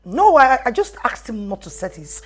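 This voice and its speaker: A woman's voice: on the high side, big pitch swings, normal speed. An actress playing a busy, apologetic young career woman who catches up with her friend. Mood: gossiping. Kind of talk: skit drama acting.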